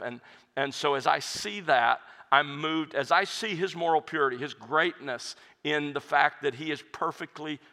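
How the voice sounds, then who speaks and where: Pastor fielding questions: man, earnest and reflective, bright voice, long hall reverb. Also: on the high side, gravelly. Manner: earnest, reflective, with frequent restarts. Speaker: pastor and hymn writer answering audience questions. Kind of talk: conversational Q&A talk.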